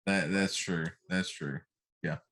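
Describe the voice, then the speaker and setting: A man's voice: deep voice, steady tone, slow pace, fairly bright tone, a little hiss or hum. A middle-aged man co-hosting a casual chat show, speaking in an opinionated, emphatic way. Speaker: talk show co-host. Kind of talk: casual chat about films.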